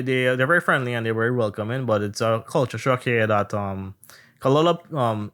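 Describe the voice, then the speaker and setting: Man, animated storyteller. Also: fairly low pitch. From Trinidad and Tobago. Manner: animated storytelling, acts out others' questions. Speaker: podcast co-host. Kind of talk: casual chat.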